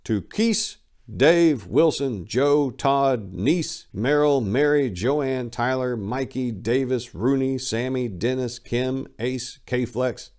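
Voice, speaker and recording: A man's voice: medium pitch, slow, a little mellow. A man reading a memoir aloud as a dramatic book reading, with an emphatic, declamatory delivery. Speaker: reader of a memoir.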